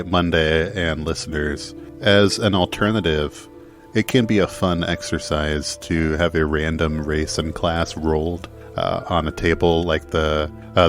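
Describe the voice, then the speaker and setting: Deep-voiced, articulate man. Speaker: podcast panelist. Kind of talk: casual discussion.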